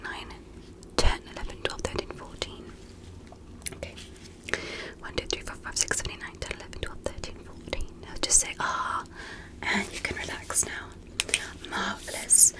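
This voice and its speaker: A woman whispering softly and breathily in an ASMR medical roleplay, speaking gently and deliberately in short measured phrases as a fascinated alien doctor. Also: sits high, low-quality recording. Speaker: ASMR performer.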